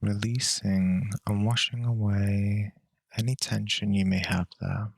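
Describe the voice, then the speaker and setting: A man leading a guided meditation in a calm, measured, unhurried way with long pauses between phrases. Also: on the low side, gravelly, dry recording.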